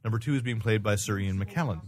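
A man's voice: on the low side, quick pace. A man hosting a podcast, chatting casually through fan news with a panel. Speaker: podcast host.

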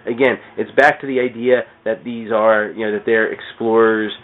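A man chatting casually on a comics podcast in a rambling, halting way, often repeating words as he goes. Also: lowish voice, fairly even intonation. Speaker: podcast speaker discussing comics. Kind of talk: casual chat. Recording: thin phone-like radio sound.

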